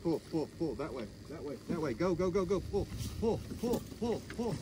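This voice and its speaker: A man guides a client on a windy lake, giving steady, folksy instructions. His voice rides up and down a lot, sitting a bit high for a man. Heavy wind and boat noise wash over the recording. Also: normal speed. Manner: calm, patient instructions, folksy phrasing. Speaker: fishing guide.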